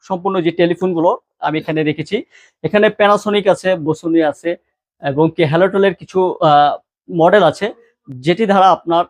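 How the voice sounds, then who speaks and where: Bangladeshi male shop presenter, high pitch, rapid informal sales delivery, dry close sound. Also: dry recording. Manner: salesman-like, repeats key words and prices. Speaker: shop seller presenting products. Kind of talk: informal product showcase with prices.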